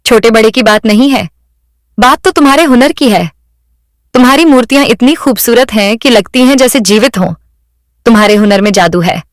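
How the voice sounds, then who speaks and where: A woman voicing a kind, encouraging lady sculptor in a cartoon moral story, speaking in a gentle, earnest way. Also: on the high side, normal speed, dry recording, studio quality, natural voice.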